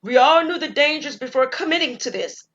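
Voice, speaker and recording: Woman playing a steady young man, the group leader. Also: high-pitched, a little fast, lo-fi sound, voice pushed up. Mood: firm. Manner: firm, reassuring. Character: steady determined young man leading the hike. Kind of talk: audio drama acting.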